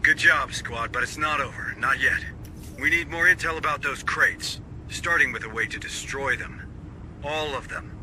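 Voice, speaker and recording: An actor playing a gruff, middle-aged Marine squad sergeant in a video game, giving clipped orders. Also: male voice, mid-range voice, moderate pace, sharp tone, a little hiss or hum, poor audio. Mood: firm command.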